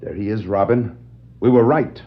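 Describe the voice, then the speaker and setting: An actor playing an earnest, commanding superhero detective with stagey, declarative delivery on a 1960s children's adventure record. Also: male voice, on the low side, normal speed, lightly acted. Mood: alert. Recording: dull 1960s vinyl record dramatization.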